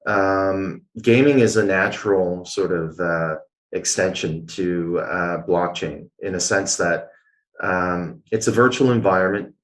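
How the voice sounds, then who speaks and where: A man's voice: low-pitched, a little slow. A man from Canada, a guest answering interview questions in an explanatory way, with many filler sounds. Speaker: guest, blockchain executive.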